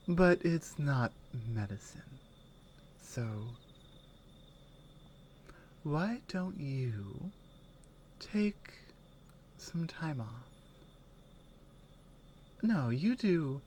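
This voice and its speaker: A woman playing a strong, caring Nordic warrior companion in an intimate ASMR roleplay, speaking in halting phrases that often drop toward a whisper. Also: deep voice, a little mellow, slight background noise. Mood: tender, caring. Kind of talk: ASMR roleplay, intimate one-sided dialogue.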